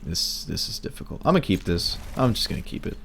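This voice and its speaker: A man streaming a card game, talking through his plays in casual commentary, hoarse and losing his voice, wry and muttering at bad luck. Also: low voice, sing-song, fast, fairly bright tone, slight background noise. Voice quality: breaking, cracking voice.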